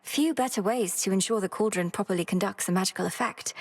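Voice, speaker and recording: Woman acting resolute young witch princess, husky, reverberant. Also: medium pitch, close to an everyday voice. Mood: courteous. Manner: measured, formal, resolute. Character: earnest, determined young princess witch of the underworld. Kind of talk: video game voice acting, formal diction. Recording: long reverberant hall effect.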